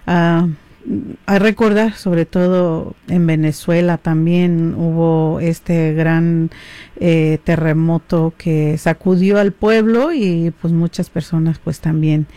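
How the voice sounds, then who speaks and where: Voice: mid-range voice, fairly even intonation, slow pace, warm tone, smooth, dry recording. A radio host chatting casually and addressing listeners directly in a folksy way. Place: radio studio.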